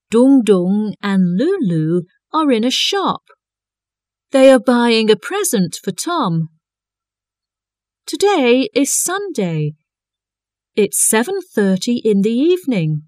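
A woman reading an English textbook lesson aloud, slowly and deliberately for learners. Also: a little high, no room sound, clean studio sound. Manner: clear, deliberate, lively intonation. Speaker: textbook audio reader. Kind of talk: slow scripted reading for learners.

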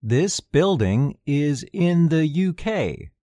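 A man reading section titles and repeating test sentences for a recorded English lesson, slow and deliberate. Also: on the high side, very animated, dark tone, no room sound. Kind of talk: scripted listening exercise.

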